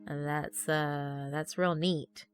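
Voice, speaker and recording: A woman acts out an awkward young volunteer in a narrated story. The voice is fairly low, casual and hesitant, with dry sarcasm. Faint music sits behind it. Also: steady tone, very slow. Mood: dry, polite. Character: awkward, sardonic young adult volunteer named Napoleon. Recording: background music under the voice.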